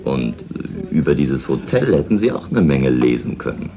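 A man playing a gruff, mocking local in a tavern, dry and cynical, in a 1960s radio drama. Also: very deep voice, big pitch swings, moderate pace, crowd noise, exaggerated voice.

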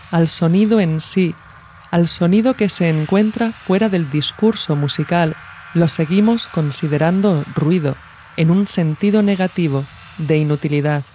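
A woman from narrates a scripted, reflective audio essay in a measured, formal way, as a podcast host. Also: fairly low pitch, a little mellow, a little hiss or hum.

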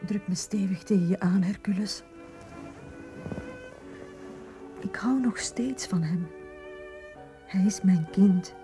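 An actress playing a frail, worried, loving mother, soft and breathy, in a radio drama. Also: mid-range voice, measured pace, soft background music, close to an everyday voice. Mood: anxious, hushed.

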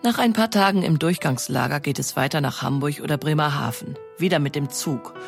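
A woman's voice: low voice, fairly quick, vocal fry, soft background music. A woman reads scripted documentary narration as a narrator, in pronunciation.

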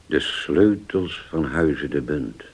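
An actor playing a grave older uncle in a radio play, subdued and halting, as if brooding. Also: male voice, very deep voice, animated, husky, full character voice. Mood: brooding, disillusioned. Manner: slow, halting, murmuring to himself. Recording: faintly noisy old radio play recording.

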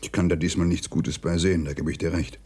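Low-voiced dogged police detective. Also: male voice, steady tone, fast talker, darkish tone, husky. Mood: grave, earnest. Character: dogged, soft-hearted middle-aged male New York police detective. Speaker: radio drama actor. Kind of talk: German radio drama acting.

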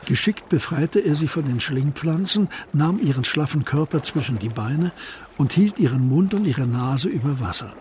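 A man narrating a scripted crime radio drama in a measured storytelling manner. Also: on the high side, expressive, a little mellow, a little hiss or hum.

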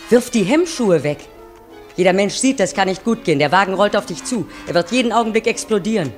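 A woman's voice: mid-range voice, lively intonation, a little fast, darkish tone, close to an everyday voice. An actress playing a weary railwayman's wife in a 1950s radio play, addressing her husband intimately and dramatically. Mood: alarmed, reproachful. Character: weary, pleading railwayman's wife looking back on youth. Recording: 1950s radio recording over music.

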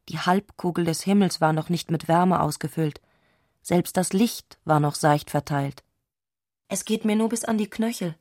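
Radio play narrator: woman, somewhat low voice, scripted literary prose, no room sound. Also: a little mellow, no room sound, studio quality.

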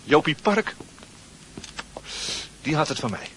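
A man's voice: on the high side, sing-song, takes it slowly, noisy background. Cocky, swooping young man. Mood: evasive. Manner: affected, nonchalant. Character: cocky, evasive, worldly-posing teenage male school friend. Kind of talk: radio drama acting.

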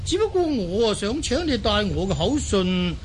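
A man plays a middle-aged Mongol chief in a Cantonese radio drama, proud and expansive. His voice rides high and swings widely in pitch, over faint background noise. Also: big pitch swings, normal speed. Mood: firm, guarded. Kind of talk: Cantonese audio drama acting.

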